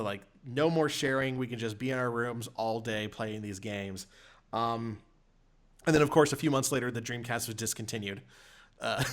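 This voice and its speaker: A man with a medium-pitched voice talks on a podcast. He reminisces casually and meanders, feeling his way through each thought. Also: fairly bright tone. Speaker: podcast panelist.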